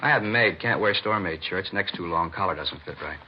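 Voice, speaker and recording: A man's voice: sits low, brisk, breathy edge. An American man playing a casual, grumbling man-about-town suspect in a 1950s radio drama, talking in breezy, clipped phrases. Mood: griping. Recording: muffled vintage radio recording.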